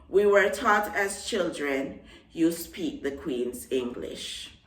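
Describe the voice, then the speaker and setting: A woman's voice: medium pitch, bright, forceful tone, echoey room, distant mic. A young woman from Jamaica with a Jamaican accent, chatting casually to her vlog audience and repeating words for emphasis. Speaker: vlogger. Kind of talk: casual talk to camera.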